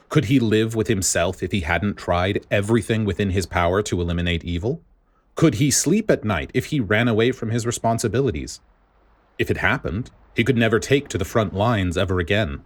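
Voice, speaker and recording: A man narrates a piece of dramatic fiction. His voice is on the low side and moves expressively through the scripted prose. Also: expressive, normal speed. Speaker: audiobook narrator.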